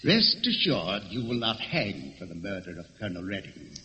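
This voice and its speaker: An actor playing a cold, arrogant gentleman murderer in an old radio thriller, menacing, mock-courteous and commanding. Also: deep voice, sing-song, normal speed, heavily acted. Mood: cold menace. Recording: dull, hissy old radio recording with hall reverb.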